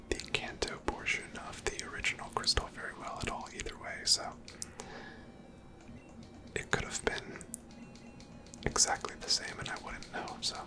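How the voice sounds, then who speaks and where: A person whispering ASMR gameplay commentary in a breathy, unvoiced voice, gently musing aloud. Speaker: video game streamer narrating own playthrough.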